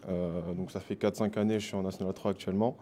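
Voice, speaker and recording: A man's voice: deep voice, level tone, quick pace, mellow, a little hiss or hum. A man in his twenties from the Paris region introducing himself as a basketball player, with many fillers. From Paris region, France. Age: 20s. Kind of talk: self-introduction.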